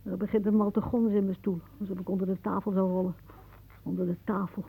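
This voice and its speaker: An actress playing a whimsical, dreamy elderly aunt in a radio play, musing theatrically and drifting into hushed asides. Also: female voice, medium pitch, little pitch movement, fast talker, vocal fry, narrow, tinny audio, slight background noise, close to an everyday voice. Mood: agitated, brooding.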